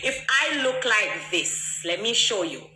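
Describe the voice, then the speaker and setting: A woman with a Jamaican accent talks straight into her phone, pressing one pointed rhetorical question after another. Her voice is bright and forward, and her middling pitch carries clearly. The room around her rings with a noticeable echo. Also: sing-song, takes it slowly, faint music behind. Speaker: artist answering critics. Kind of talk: direct-to-camera monologue.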